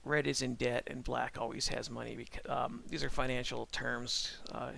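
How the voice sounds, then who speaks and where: A middle-aged man chatting casually on a live stream while he draws, thinking aloud in a calm voice with halting restarts. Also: mid-range voice, vocal fry, slight background noise. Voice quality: calm-sounding voice. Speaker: streamer and animator.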